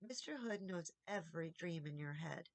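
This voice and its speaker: A woman voicing a kindly, motherly housekeeper in a storybook, gentle and caring in manner. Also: on the low side, expressive, moderate pace, voice pushed down.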